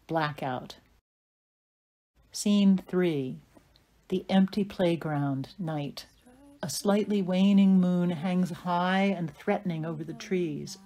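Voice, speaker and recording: A woman reading scripted narration and stage directions for an audio play in a measured, atmospheric way. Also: lowish voice, warm tone.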